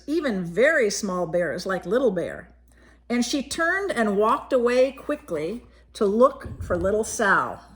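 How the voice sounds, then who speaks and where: A woman reading a picture book aloud as a storyteller for young children, expressively, pausing to explain pictures and ask questions. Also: a little high.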